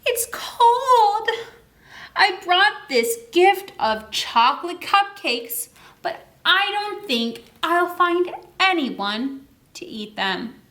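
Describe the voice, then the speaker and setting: A woman reading a storybook as a little male astronaut, lonely and searching Mars, in an expressive read-aloud manner. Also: extremely high pitch, very slow, echoey room, voice pushed up, exaggerated voice. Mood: disappointed.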